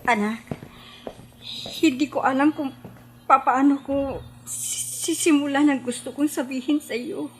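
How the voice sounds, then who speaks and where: High-voiced, gentle middle-aged mother. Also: steady tone, a little slow, long pauses. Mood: sorrowful, reluctant. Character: sorrowful, gentle mother of a runaway bride. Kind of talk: radio drama acting. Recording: music behind the voice. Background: background music.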